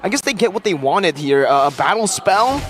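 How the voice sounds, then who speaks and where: Excitable esports caster doing play by play, pitch all over the place, gets shouty, loud music behind. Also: moderate pace. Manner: excitable, swings into shouting on big plays. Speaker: esports commentator. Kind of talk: live play-by-play game commentary.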